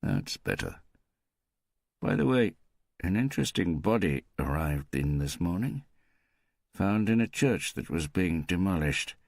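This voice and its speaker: A man's voice: sits low, big pitch swings, unhurried, gravelly, long pauses, a little mellow, no echo, studio quality, pitched above the performer's own voice, exaggerated voice. A man voicing a sardonic, teasing woman pathologist with a mischievous, wry manner in an audiobook. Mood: brisk, wry.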